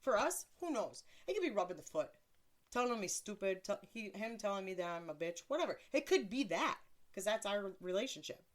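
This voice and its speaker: A woman in her thirties with a deeper voice, hosting a casual solo podcast in a blunt, crude, self-mocking way and laughing often. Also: very animated, brisk. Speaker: podcast host.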